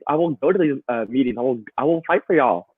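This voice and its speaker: A man's voice: mid-range voice, lively intonation, fast talker, slightly rough, no room sound. A man talking as a guest in a casual live chat over a remote call, earnest and emphatic, laughing often. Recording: tinny phone-like line, dry.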